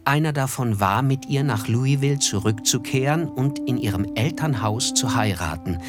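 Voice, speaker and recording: An actor playing a reflective narrator in a literary radio play, speaking in a measured, observant way. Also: male voice, medium pitch, expressive, music in the background, natural voice. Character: reflective male narrator recalling a summer among the rich.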